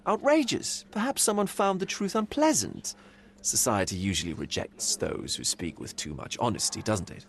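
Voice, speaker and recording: Sly, theatrical game gentleman, cynical and teasing, wild swoops in pitch. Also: very animated, normal speed, vocal fry, exaggerated voice. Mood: probing. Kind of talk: video game voice acting.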